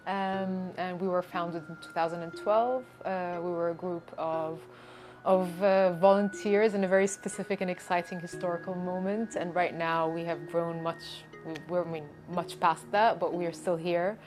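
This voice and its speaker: Measured woman from Syria, music underneath. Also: on the low side, steady tone, a little mellow. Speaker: deputy director of a media outlet. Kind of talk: interview to camera.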